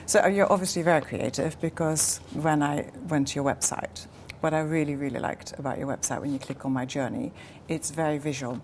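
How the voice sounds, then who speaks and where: A woman with a very low-pitched voice hosts a relaxed, conversational interview. She is attentive and keeps adding small words of agreement. A little room noise sits behind her. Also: a little fast, hardly any pauses. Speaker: interviewer, host. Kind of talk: casual chat interview.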